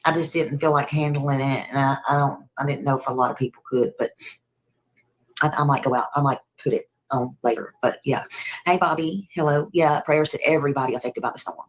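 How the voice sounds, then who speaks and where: A woman's voice: deep voice, steady tone, a little fast, poor audio. A woman livestreamer chatting casually with viewers, rambling and repeating herself.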